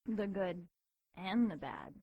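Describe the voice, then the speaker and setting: A husky demon seductress, close and dry. She is coy and teasing with a sinister edge, in audio drama style. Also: on the low side, sing-song, slow pace, no room sound, lo-fi sound, exaggerated voice. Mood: teasing menace. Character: sultry, teasing, menacing female demon seductress.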